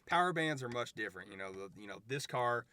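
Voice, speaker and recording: Smooth, bright laid-back young man. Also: medium pitch, animated, fast talker, no room sound. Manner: laid-back, hesitant, lots of fillers. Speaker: guest, car owner. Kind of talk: casual conversation. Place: inside a car.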